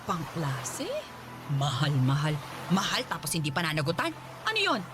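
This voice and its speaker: A woman's voice: low voice, huge pitch swings, moderate pace, over music. An actress playing a furious, protective middle-aged Filipino mother, scolding and confrontational in a radio drama. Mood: scornful.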